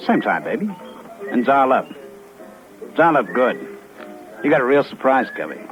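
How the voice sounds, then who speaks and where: A male actor voices a slick, pushy American hoodlum in a 1950s radio crime show. He wheedles and needles in lively, swinging tones. The recording is dull and old, with music behind. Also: mid-range voice, moderate pace, natural voice. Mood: smooth, coaxing. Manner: wheedling, brash slang. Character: slick, pushy fugitive hoodlum wooing a woman.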